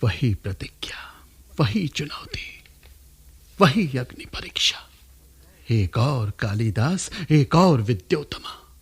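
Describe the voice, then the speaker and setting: An elderly poet-guru travelling in disguise in a classical radio play. He speaks slowly and deliberately, with courtly theatrical flourish and wide swings of pitch. The voice is slightly husky. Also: big pitch swings, exaggerated voice. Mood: musing, delighted. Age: older.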